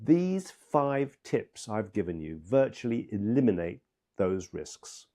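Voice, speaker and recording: A man delivering scripted medical instruction in a measured, emphatic, step-by-step way, as a practitioner teaching others. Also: fairly low pitch, sing-song, dark tone.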